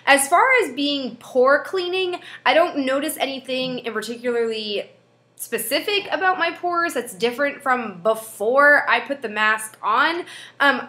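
Young female vlogger, congested head-cold voice, bright and a bit high, echoey small room. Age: young adult. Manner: chatty, friendly, with frequent fillers. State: stuffed-up nose from a head cold. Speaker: beauty vlogger. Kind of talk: casual product review. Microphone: webcam at desk distance.

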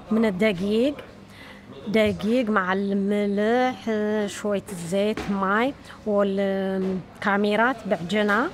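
A woman informally explaining cooking step by step, listing things in plain short phrases. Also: mid-range voice.

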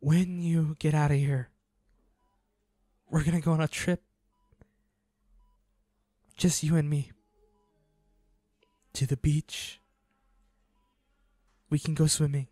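Guy playing a devoted hunter boyfriend in an audio roleplay, really slow and mellow, halting and pleading, dry close sound. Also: fairly high pitch, level tone, no echo. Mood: wistful, tender. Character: devoted, grieving young male hunter, werewolf's boyfriend. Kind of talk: intimate dramatic monologue to an injured lover.

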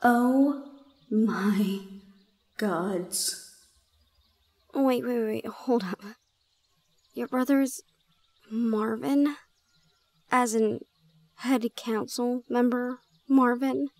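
Woman voicing young gorgon woman, audio drama, high-pitched, shy, easily flustered, incredulous, halting and exclamatory. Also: darkish tone, dry recording. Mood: shocked. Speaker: audio roleplay performer. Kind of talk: audio roleplay drama acting.

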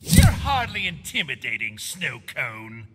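An actor playing a manic, mocking villain in a video game, jeering theatrically. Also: male voice, medium pitch, wildly swooping pitch, unhurried. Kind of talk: video game character dialogue. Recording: loud background noise.

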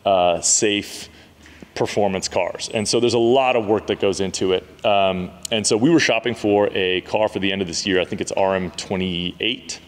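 A man in his thirties with a fairly low voice talks casually to the camera, relaxed and full of "um"s and "uh"s. He stands in a big indoor car garage, and the camera mic picks up a long, echoing reverb from the hall. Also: moderate pace. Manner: relaxed, conversational, many fillers. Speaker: car dealership owner and channel host.

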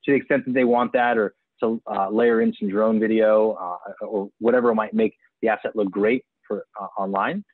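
A man in his forties pitches his business eagerly over a video call, stumbling through restarts as he goes. His voice sits low, and the audio is thin and phone-like. It sounds dry, with no room in it. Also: steady tone, moderate pace, few pauses, dry recording. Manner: eager salesman, restarts and fillers. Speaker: auction platform executive.

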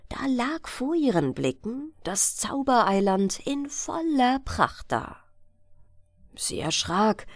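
A woman reading a fairy tale as a bedtime audiobook, in an expressive, measured storytelling manner. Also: mid-range voice, no room sound, studio quality. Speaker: audiobook narrator.

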